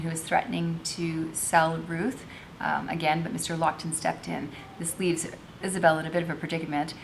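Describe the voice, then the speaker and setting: A woman with a slightly low voice reads a story aloud in the role of a teacher. She moves between narration and informal explanation. There is faint noise and rumble on the recording. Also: fairly bright tone. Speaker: teacher reading a novel aloud with commentary.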